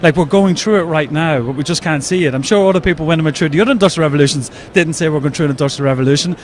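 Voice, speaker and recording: A man's voice: fairly high pitch, racing, a little hiss or hum. An Irish man explaining his company's product enthusiastically in an interview at a trade show. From Ireland. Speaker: company representative.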